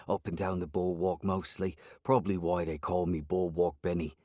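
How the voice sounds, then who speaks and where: A man's voice: sits low, little pitch movement, normal speed, warm tone, no room sound, clean studio sound. A man voicing a scruffy middle-aged male drifter with a coarse English accent and an offhand, casual manner.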